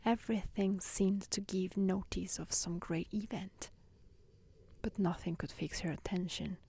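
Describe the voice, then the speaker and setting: A woman reading a fairy tale in a soft, breathy, near-whispered ASMR style with a gentle, soothing manner. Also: mid-range voice, fairly even intonation, measured pace.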